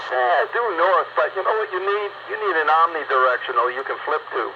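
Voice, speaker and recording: A man's voice: a little high, expressive, a little fast. A man giving casual advice and chat over hobby radio. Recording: dull, noisy radio transmission. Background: clear radio noise.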